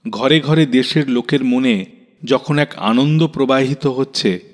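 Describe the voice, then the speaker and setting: A man hosting a radio show, reading a scripted narration with literary passages in a measured, expressive manner. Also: mid-range voice. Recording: long reverb, faint music behind. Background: soft music.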